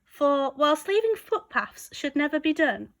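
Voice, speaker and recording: High-pitched, very animated woman storyteller. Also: measured pace. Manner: expressive, rhythmic. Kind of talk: rhyming picture-book read-aloud.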